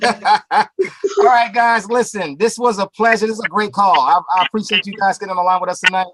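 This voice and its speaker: A man's voice: high voice, fairly even intonation, a little fast, fairly bright tone, low-quality recording. A man hosting a webinar over a video call, upbeat and hypey, repeating his phrases. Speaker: call host.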